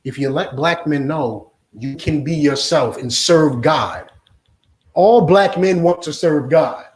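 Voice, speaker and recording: Man preaching hard to a class, voice kinda high, lots of room echo, keeps asking "you understand". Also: lively intonation, slow pace. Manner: forceful, repeats "you understand?". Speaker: religious teacher. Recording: echoey room.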